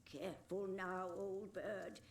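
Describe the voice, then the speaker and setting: A woman playing a kindly, fretful elderly weaver in a fantasy game, fussing gently. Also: mid-range voice, steady tone, slow pace, gravelly, roomy sound, very noisy. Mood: concerned.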